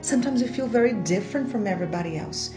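A woman's voice: mid-range voice, animated, fast, music in the background. Enthusiastic Brazilian woman hosting a podcast. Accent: Brazilian Portuguese. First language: Portuguese. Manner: enthusiastic, chatty, laughs often. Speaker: podcast host. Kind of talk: casual faith podcast monologue.

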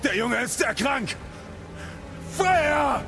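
A man playing a gruff, brooding warrior god and father in a video game audio drama, gravelly and terse. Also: extremely high pitch, animated, over music, heavily acted. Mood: urgent, shouting. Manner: terse, slow, heavy delivery.

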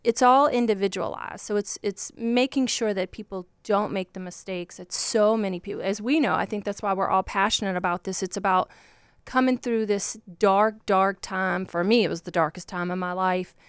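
A woman with a Virginia Southern accent talks in an earnest, heartfelt way, often restarting her phrases. Her voice is very smooth and clear, and the sound is dry and close. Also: mid-range voice, moderate pace, no room sound. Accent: Southern American, Virginia. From Virginia, USA. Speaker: guest, divorce coach. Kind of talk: casual podcast conversation.

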